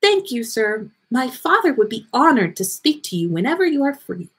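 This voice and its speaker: A woman voicing a dreamy, friendly teenage schoolgirl in a storybook, with a bright, airy voice and polite, serene delivery. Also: on the high side, big pitch swings, moderate pace, husky, full character voice. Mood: polite, grateful.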